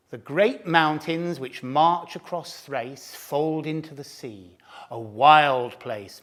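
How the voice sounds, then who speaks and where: Male storyteller, slow deliberate pace, very animated pitch, hushed whispery dips. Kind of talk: storybook narration.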